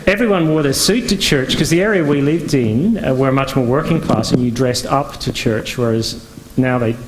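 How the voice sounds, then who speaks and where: A man's voice: medium pitch, moderate pace, crowd noise, low-quality recording. A man from Northern Ireland giving a conference talk as a pastor, speaking conversationally with frequent fillers and restarts. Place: conference venue.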